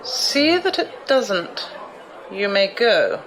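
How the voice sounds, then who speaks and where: A woman's voice: mid-range voice, very animated, extremely slow, music in the background, crowd noise, rough recording. A woman playing an imperious, shrewd, wealthy older noblewoman in an audio drama, commanding and condescending. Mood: curt, commanding.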